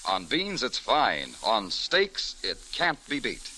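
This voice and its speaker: A man's voice: medium pitch, wildly swooping pitch, takes it slowly, bright, forceful tone, rough recording. A man announcing in the style of a vintage 1940s radio commercial. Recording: old radio recording, faint music.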